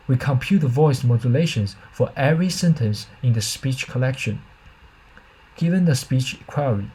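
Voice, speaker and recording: A man narrates a scripted research presentation in a soft-edged, mellow voice with some huskiness. His pitch sits in a middle range, and he reads formally, in a measured way. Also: breathy edge, rough recording. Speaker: researcher presenting own system.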